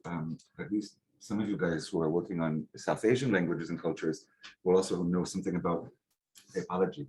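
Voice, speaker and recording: A man giving an academic lecture to a seminar, relaxed and conversational, with frequent um and uh and rhetorical "right?" checks. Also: low voice, poor audio. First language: English. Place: lecture hall. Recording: faint background noise, clicks.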